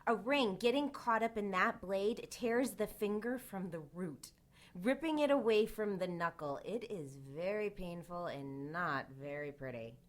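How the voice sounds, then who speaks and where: A woman playing a prickly, defiant witch in her twenties in an audio drama, curt, sarcastic and measured. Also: mid-range voice, lively intonation, lightly acted. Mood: cool, dry. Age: 20s.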